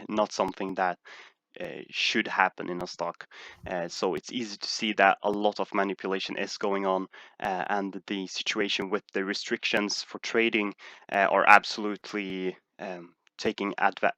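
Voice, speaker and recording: Man, Swedish accent, low pitch, dry close sound, hesitant with many fillers. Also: bright, forceful tone, no echo. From Sweden. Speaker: stock market streamer. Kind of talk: casual livestream Q&A.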